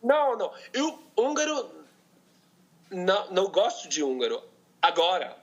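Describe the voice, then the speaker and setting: Homem com sotaque colombiano, hesitante. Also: male voice, sits high, very animated, a bit bright, husky, lo-fi sound. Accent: Colombian Spanish, from Bogotá. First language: Spanish. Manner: halting, searching for words, many restarts. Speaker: podcast guest, polyglot. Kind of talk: casual conversation in a second language.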